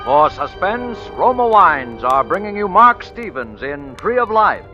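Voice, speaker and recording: A man's voice: high-pitched, very animated, unhurried. A man announcing a program in dramatic 1940s radio style. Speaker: show announcer. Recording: muffled vintage radio recording with music.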